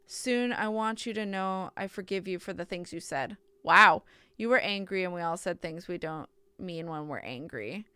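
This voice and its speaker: A woman's voice: on the low side, normal speed, few pauses, sharp tone, clear, resonant tone, dry recording. A woman streaming a visual novel, reading the text aloud with casual asides and often stumbling and correcting herself.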